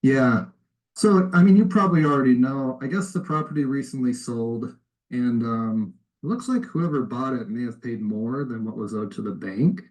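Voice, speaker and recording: A man on an informal phone call, explaining things politely and tentatively with a soft sell. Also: medium pitch, normal speed. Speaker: cold caller offering help claiming surplus funds. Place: recorded phone call played back in a lesson.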